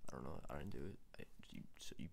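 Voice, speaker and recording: A man doing an ASMR roleplay as a hesitant magic ring salesman, speaking in a breathy, creaky half-whisper and often repeating himself. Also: extremely low pitch, racing, slight background noise. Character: shifty, hesitant seller of magic rings. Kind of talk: ASMR roleplay, soft improvised salesman patter.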